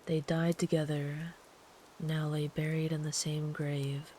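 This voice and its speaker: A woman playing a kind, protective traveler in an ASMR sleep-aid roleplay, speaking gently and soothingly in a hushed, partly whispered voice. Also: sits low, little pitch movement, takes it slowly, slight background noise. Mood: hushed, storytelling. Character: kind, protective traveler comforting a runaway by a campfire.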